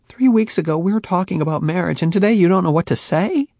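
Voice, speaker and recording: A man's voice: high voice, expressive, moderate pace, vocal fry, a little mellow, slightly rough, no room sound, studio quality, voice pushed up, full character voice. A man reading an audiobook, voicing a heartbroken young woman who pleads tearfully and flares into anger. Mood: tearful insistent. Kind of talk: audiobook character voice.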